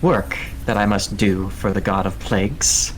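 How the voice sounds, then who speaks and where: A man voicing a courtly, self-assured ratman plague cultist who speaks in formal, measured phrases for a tabletop game. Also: on the low side, steady tone, noisy background, pitched below the performer's own voice, natural voice. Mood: self-assured, musing.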